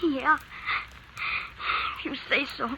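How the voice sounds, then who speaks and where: A woman's voice: high voice, steady tone, takes it slowly, vocal fry, noisy background, full character voice. A woman playing a desperate farm boy. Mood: broken. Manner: desperate, pleading, near tears. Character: distraught young farm boy with a rifle. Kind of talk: vintage radio drama acting.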